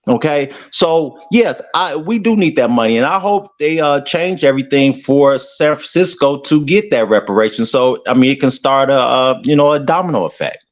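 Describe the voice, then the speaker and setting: A man who grew up on Chicago's South Side speaks through a slightly muffled call connection. His voice sits at an ordinary male pitch. He talks in a halting way, piling up fillers and restarting his sentences. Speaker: caller. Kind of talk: casual call-in opinion.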